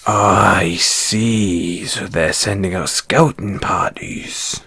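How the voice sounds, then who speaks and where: A man playing a kind, gruff dwarf blacksmith and former adventurer in a fantasy audio roleplay, speaking in a folksy, avuncular way. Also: low voice, steady tone, very slow, pushed and bright, no room sound, exaggerated voice. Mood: sympathetic, grim. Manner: hesitant, folksy, avuncular, addresses listener as lad. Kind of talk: fantasy audio roleplay acting, second-person dialogue.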